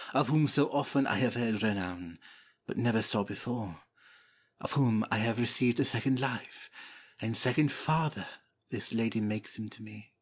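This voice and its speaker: Guy doing a lovestruck young prince, shakespeare reading, husky breathy voice, slow and sincere. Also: medium pitch. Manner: courtly, earnest. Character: devoted young prince in love, courtly and sincere. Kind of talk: dramatic Shakespeare audiobook reading.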